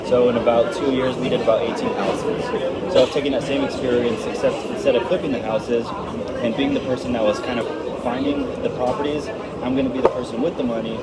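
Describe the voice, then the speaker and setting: A young man from New Mexico chats in an easy, unhurried way, restarting phrases as he thinks. Loud crowd chatter and street noise surround him, as if recorded in a busy public eatery. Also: mid-range voice. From New Mexico, United States. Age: young adult. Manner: relaxed, repeats words while thinking. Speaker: guest, property investor. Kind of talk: casual interview chat.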